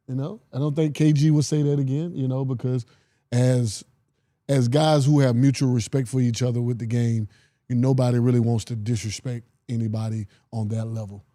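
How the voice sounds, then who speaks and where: A man's voice: medium pitch, warm tone, dry recording, studio quality. A man co-hosting a podcast, telling stories in loose casual chat with playful mock anger. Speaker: podcast co-host.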